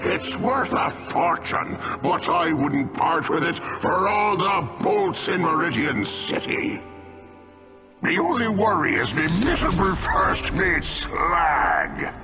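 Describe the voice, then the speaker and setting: A man playing a menacing, miserly pirate captain in a video game, narrating his log in a grandiose, theatrical pirate drawl. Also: fairly high pitch. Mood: ominous, vengeful.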